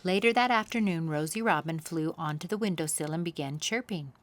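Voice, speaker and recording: A woman reading a children's storybook aloud as expressive scripted narration. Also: deep voice, fairly quick. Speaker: children's story reader, channel host.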